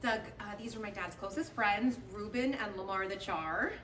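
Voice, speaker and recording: A woman playing a brisk, upbeat daughter from a football-mad family in a comedy table read. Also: mid-range voice, distant mic, over a noisy crowd, lightly acted. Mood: wry. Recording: echoey, noisy live room, off mic.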